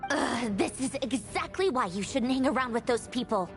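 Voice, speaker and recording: A woman playing a haughty, sarcastic, sharp-tongued young female villain inventor in an anime-style video game. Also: on the high side, lively intonation, normal speed. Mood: exasperated, taunting. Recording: dramatic music under the voice.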